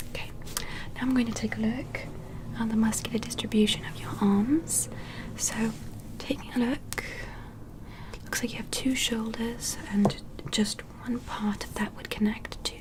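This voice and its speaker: A soft, breathy whisper from a gentle alien examiner, acted out by a woman in a sci-fi ASMR roleplay. The voice sits fairly high, and the manner is careful and soothing, full of quiet curiosity about humans. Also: natural voice, rough recording. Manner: slow, careful, soothing step-by-step instructions.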